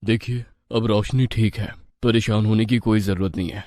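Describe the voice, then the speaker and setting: Man voicing a measured village doctor. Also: on the low side, fairly even intonation, a little mellow, no room sound, clean studio sound, pitched below the performer's own voice, close to an everyday voice. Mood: calm, frank. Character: calm village doctor, reassuring and advising. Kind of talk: Hindi moral-story cartoon dub.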